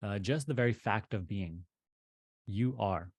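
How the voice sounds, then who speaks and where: A man teaching meditation, leading a guided practice in deliberate short phrases with pauses between them. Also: on the low side, a little mellow, no room sound.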